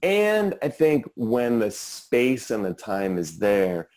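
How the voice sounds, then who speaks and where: A man interviewing, speaking calmly and affirmingly. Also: mid-range voice, slow pace. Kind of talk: casual interview chat.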